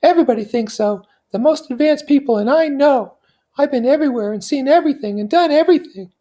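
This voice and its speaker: A man's voice: extremely high pitch, quick pace, dark tone, voice pushed up. A man voicing a dramatic, cynical and flighty young society woman in a theatrical, gushing way from a classic novel. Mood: scornful.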